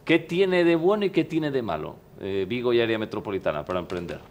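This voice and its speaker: A man hosting a TV debate, asking questions in a conversational way with quick interjections. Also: medium pitch, very animated, a little mellow. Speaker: TV debate host. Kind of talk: moderating a TV debate. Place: TV studio.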